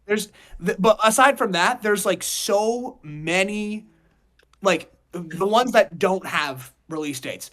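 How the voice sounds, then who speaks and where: Male podcast co-host, casual and slangy, animated delivery with shifting volume. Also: high-pitched, slow. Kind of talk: casual group podcast chat.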